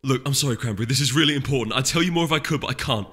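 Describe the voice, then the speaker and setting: A man's voice: mid-range voice, racing, a bit bright, slightly rough, pitched above the performer's own voice. A man voicing an amiable young male winged unicorn in a storybook reading, polite, placating and hesitant. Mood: apologetic. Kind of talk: audiobook character voice. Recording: echoey hall reverb, music behind.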